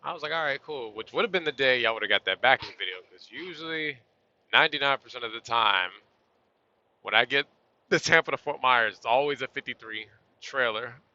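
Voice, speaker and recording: A man driving a truck and chatting casually to his vlog audience, a little hoarse and losing his voice, with an easygoing, rambling manner. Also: mid-range voice, expressive, fairly quick, bright, forceful tone, no echo. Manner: laid-back, chatty, southern-style y'all. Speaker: truck driver vlogger. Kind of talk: casual vlog talk to camera while driving. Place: inside a truck cab on the road. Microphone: phone or camera on a tripod. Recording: dull lo-fi sound.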